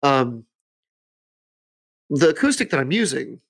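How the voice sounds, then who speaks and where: A man's voice: mid-range voice, sing-song, measured pace, vocal fry, slightly rough. A man hosting a casual podcast chat, thinking aloud as he searches for words. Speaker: podcast host.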